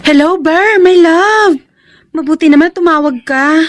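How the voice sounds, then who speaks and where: A woman's voice: very high voice, a little slow, over loud music. A woman playing a sweet, coy sweetheart in a Filipino radio drama, gushing with affection.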